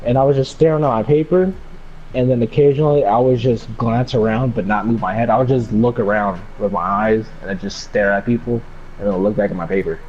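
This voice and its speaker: Laid-back young man, mellow low voice. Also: fairly even intonation, brisk. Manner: laid-back, slangy, sprinkled with swearing. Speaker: storyteller. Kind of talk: casual personal storytelling. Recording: dull lo-fi mic with background noise.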